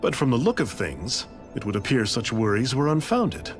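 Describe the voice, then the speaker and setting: A man's voice: medium pitch, very animated, normal speed, faint music behind, close to an everyday voice. A man voicing a blithe, eccentric scientist in a video game, lecturing with theatrical, casual detachment. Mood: blithe, detached. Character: eccentric, blithe male scientist, coolly explaining a grand scheme. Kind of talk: scripted video game voice acting.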